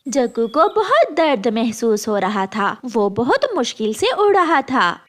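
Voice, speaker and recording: High-pitched, lively woman storyteller. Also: a little slow. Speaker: narrator. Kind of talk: scripted children's cartoon narration.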